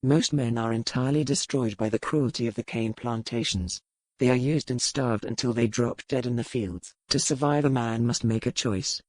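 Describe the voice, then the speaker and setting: A man's voice: mid-range voice, a little mellow, no echo, close to an everyday voice. Proud, wry pirate lord played by a man. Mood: grave, reflective. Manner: formal, aristocratic, measured phrasing. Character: titled English lord and pirate, proud, wry, battle-hardened. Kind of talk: historical romance audiobook acting.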